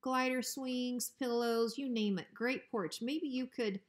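A woman's voice: a little high, slow pace, pitched above the performer's own voice. A woman voicing a pompous, bossy but cowardly male ranch dog in a children's storybook. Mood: nervous flattery. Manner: officious, blustering.

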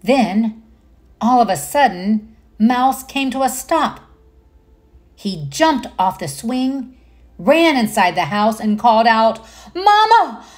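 A woman reading a children's picture book aloud as a storyteller, pausing to comment and put questions to young listeners. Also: fairly high pitch.

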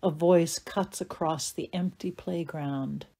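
Woman narrator, scripted stage directions, lowish pitch, warm mellow tone. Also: steady tone, slow pace, breathy edge.

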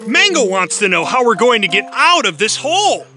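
An actor voicing Ben, a friendly talking dog, in a cartoon with music behind. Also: male voice, sits high, big pitch swings, normal speed, pushed and bright, exaggerated voice. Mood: playful.

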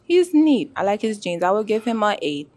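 A woman's voice: mid-range voice, sing-song, brisk, a little mellow. A young Jamaican woman with a Jamaican accent, a dating show contestant talking frankly and teasing on a handheld mic. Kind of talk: casual chat.

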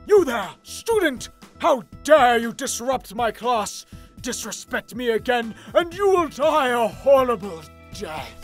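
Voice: mid-range voice, very animated, measured pace, faint music behind. A performer voicing a strict, menacing pony schoolteacher in a parody cartoon, scolding and barking commands. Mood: outraged. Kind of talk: parody cartoon dub acting.

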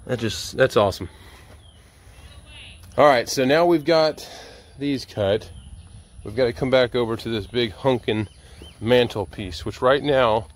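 A man's voice: medium pitch, measured pace, long pauses. A middle-aged man chatting casually and informally, thinking aloud as he narrates hands-on work at an open-air sawmill. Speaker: sawmill operator and vlogger. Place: outdoors at an open-sided sawmill shed and yard. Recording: noisy outdoor ambience, vehicle sounds.

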